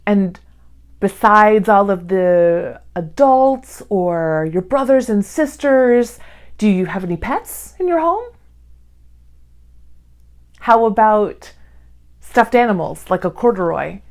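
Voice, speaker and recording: A woman hosting a read-along, speaking to young children in simple, clearly phrased storytime style. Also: medium pitch, animated, slow, long pauses.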